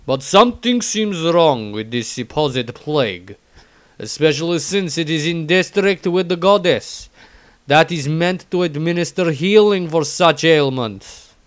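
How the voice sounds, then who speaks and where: A man voicing a proud, suspicious warrior, reading his diary bluntly in clipped grammar with a smooth, clear tone. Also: a little high, animated, unhurried, no echo, voice pushed up. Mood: wary, proud. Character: proud, blunt, suspicious northern male warrior from fantasy realm.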